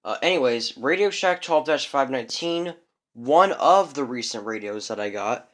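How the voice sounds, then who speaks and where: A man's voice: medium pitch, animated, moderate pace. A young man giving a casual show-and-tell of his radio collection, rambling, with many fillers and restarts.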